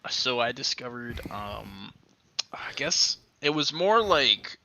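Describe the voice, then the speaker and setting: A man's voice: medium pitch, takes it slowly, a little hiss or hum, poor audio. A young man as an interview guest in a casual chat, joking and laughing often, talking close to a handheld mic. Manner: giggly, joking, lively. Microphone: handheld mic held close.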